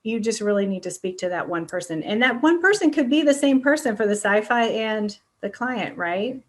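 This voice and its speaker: A woman's voice: medium pitch, expressive, normal speed. Casual, conversational woman podcast host. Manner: informal, often checks in with "right?" and "you know". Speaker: podcast host and business coach.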